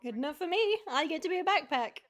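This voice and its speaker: A woman acts a wife and young mother in an audio drama. Her voice is high-pitched. She talks firmly, worried for her family, with a teasing streak. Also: animated, quick pace, fairly bright tone. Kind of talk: audio roleplay acting.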